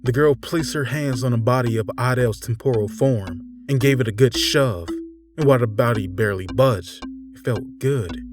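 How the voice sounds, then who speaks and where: A man with a mid-pitched voice reads a fairytale as a dramatic narrator. He moves through it in short, measured phrases with theatrical pauses. Clear instrumental music plays underneath him. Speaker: storyteller narrator.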